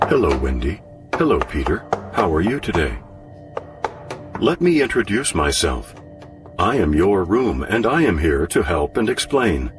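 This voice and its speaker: Talking virtual room: very low, courteous, encyclopedic, set back behind loud music. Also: male voice, very animated, a little slow, recorded from a distance. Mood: formal, helpful. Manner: formal, instructive, encyclopedic. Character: polite talking virtual-reality room, an artificial guide. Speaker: computer guide. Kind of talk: radio drama acting.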